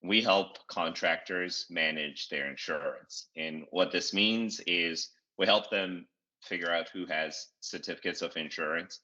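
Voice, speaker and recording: CEO guest, very deep and bright, measured with many pauses, on a dull lo-fi recording. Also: male voice. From Zimbabwe. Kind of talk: interview answers. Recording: dull lo-fi audio.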